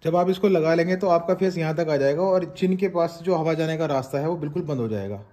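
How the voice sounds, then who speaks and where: A man's voice: a little high, fast, warm tone. Chatty man reviewing gear at home. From India. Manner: chatty, addresses viewers as guys and friends, mixes in English phrases. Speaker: motovlogger reviewing a helmet. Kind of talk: casual unboxing review. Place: small home room with shelves of trophies, indoors.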